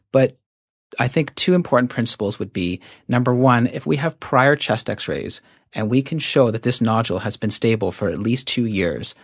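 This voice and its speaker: A man's voice: medium pitch, dry recording, clean studio sound. A man speaking as a medical expert guest, explaining in a methodical, didactic and conversational way. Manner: methodical, didactic, measured phrasing.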